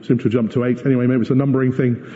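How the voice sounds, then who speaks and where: A man giving a corporate presentation as a company executive, explaining and arguing his points in a measured way. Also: medium pitch, little pitch movement, dark tone. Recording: muffled echoey hall recording.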